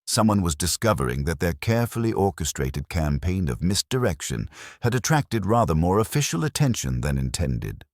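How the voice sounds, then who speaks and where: Deep, husky, shrewd inspector played by a man. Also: very animated, no echo, studio quality, heavily acted. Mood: dry, deliberate. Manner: formal, deliberate, dryly observant. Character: shrewd, methodical male police inspector.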